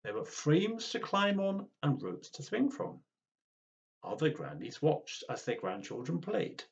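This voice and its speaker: A man reading a children's storybook aloud in an expressive, dramatic way. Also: a little high, unhurried, vocal fry, muffled recording. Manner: animated, dramatic.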